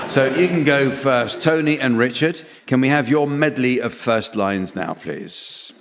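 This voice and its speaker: A man chairing a comedy panel show, delivering scripted comic material in a deadpan way. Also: medium pitch, measured pace, creaky, soft background music. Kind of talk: scripted comic hosting with dry asides. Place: theatre stage before a live audience. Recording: hall reverb, audience and background noise.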